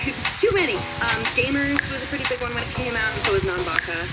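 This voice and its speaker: A woman's voice: fairly high pitch, expressive, fast talker, poor audio. A woman chatting casually as an interview guest about herself. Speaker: voice actor and director, interview guest. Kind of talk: casual chat. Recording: music clearly behind the voice.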